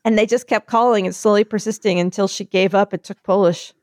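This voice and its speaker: A woman chatting casually, telling a story and acting out quotes in a higher voice. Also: a little fast, no room sound, clean studio sound. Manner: animated, acts out quotes in higher voice.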